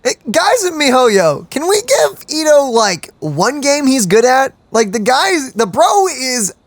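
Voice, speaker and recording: Brief: excited woman co-host, lively animated voice, dry close mic, game music behind. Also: high voice, unhurried, no room sound. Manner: excited, gushing, laughing. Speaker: let's-play co-host. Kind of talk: casual gaming commentary.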